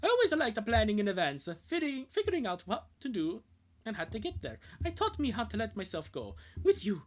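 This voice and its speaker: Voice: medium pitch, very animated, a little fast, pitched above the performer's own voice, close to an everyday voice. A streamer reading the part of an earnest, sentimental, lovestruck cartoon youth confessing devotion. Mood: earnest, tender.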